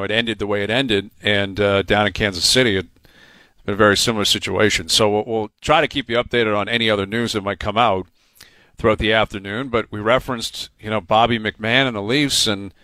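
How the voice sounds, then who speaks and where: A man's voice: lowish voice, fairly bright tone, dry recording. A man hosting a sports talk radio show, chatty and rambling as he tells stories. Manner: chatty, quick, rambling storyteller. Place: radio studio. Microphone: studio mic.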